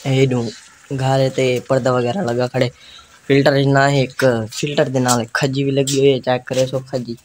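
A young man with a Saraiki accent gives informal, repetitive vlog commentary at a medium pitch. He talks into a phone held at arm's length outdoors, with clear noise around him. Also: flat delivery, moderate pace. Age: teens to young adult. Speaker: vlogger, host. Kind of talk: casual village vlog chat. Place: outdoors in village lanes, fields and walled yard. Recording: noisy handheld phone recording.

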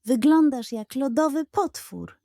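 A woman's voice: high-pitched, expressive, a little slow, creaky, dark tone, no echo, studio quality, pitched above the performer's own voice, full character voice. A woman voicing a bossy, boisterous female farm animal in a children's audio story. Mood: mocking.

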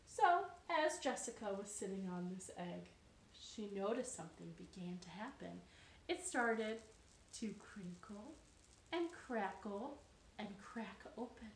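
Animated, unhurried woman telling children's stories. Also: medium pitch, slight background noise. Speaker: children's librarian storyteller. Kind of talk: animated storytime for young children. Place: living room, indoors. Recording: roomy living-room sound.

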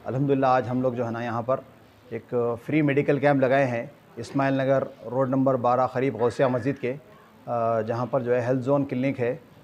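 A man's voice: medium pitch, flat delivery, a little fast, darkish tone, dull audio. An older man with a Hyderabadi accent, a community organiser making a formal address to camera with repeated filler phrases. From Hyderabad, India. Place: small clinic room, indoors.

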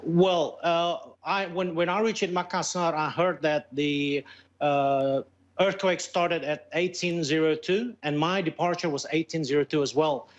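Higher-pitched Indonesian man on a video call. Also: fairly even intonation. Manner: halting, searching for words in English. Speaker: airline pilot, interview guest. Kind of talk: live news interview. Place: plain indoor room. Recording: dull, lo-fi Skype call.

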